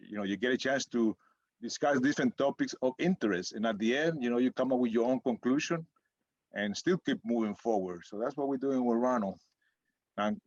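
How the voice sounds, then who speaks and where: Middle-aged dad co-host, meandering casual talk, muffled dry recording. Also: male voice, mid-range voice, dry recording. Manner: rambling, searching for words. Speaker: podcast co-host, father. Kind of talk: casual chat. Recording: dull lo-fi sound, dry room.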